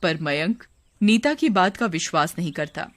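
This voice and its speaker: A woman's voice: on the low side, expressive, normal speed. A woman storyteller reading scripted narration for a cartoon horror story.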